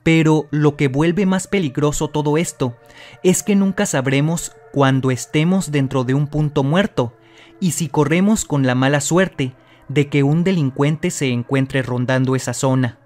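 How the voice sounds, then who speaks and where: Male mystery narrator for voice-over, dramatic and direct, a touch high-pitched. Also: a little slow, a little mellow, soft background music. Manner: dramatic, addresses the viewer directly. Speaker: channel host narrator.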